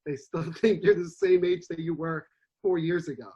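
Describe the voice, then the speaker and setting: A man's voice: a little high, moderate pace, husky, muffled recording. A man in his twenties co-hosting a podcast, chatting casually and acting out the people in his stories. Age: 20s. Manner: animated storyteller, acts out quotes. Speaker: podcast co-host. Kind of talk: casual chat.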